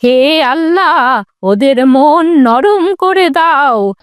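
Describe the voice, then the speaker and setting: Woman doing old cartoon granny, super slow n high, pleading, pious, dry sound. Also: expressive, very noisy, heavily acted. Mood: prayerful. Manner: slow, earnest, imploring. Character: tearful, pious elderly grandmother pleading for justice. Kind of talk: cartoon voice acting.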